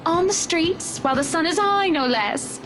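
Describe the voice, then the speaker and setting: Genteel playful lady, high voice, arch formal phrasing, visual novel game, music behind. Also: expressive, moderate pace. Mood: playful, teasing. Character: playful, teasing, genteel married woman named Hannah.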